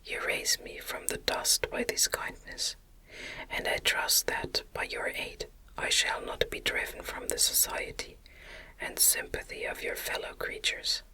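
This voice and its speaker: Casting: female narrator as a lonely, earnest monster for an audiobook, fully whispered, very bright hiss, literary and pleading. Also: unhurried, low-quality recording. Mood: grateful, earnest. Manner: formal, earnest, literary phrasing. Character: anguished, pleading male monster, eloquent and lonely. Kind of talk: whispered ASMR audiobook reading.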